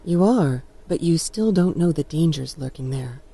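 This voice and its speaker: A woman's voice: deep voice, animated, normal speed, warm tone, slightly rough, exaggerated voice. A woman playing a worried, protective mother in an audio storybook, with an anxious, cautioning manner. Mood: warning. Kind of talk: audio storybook character acting.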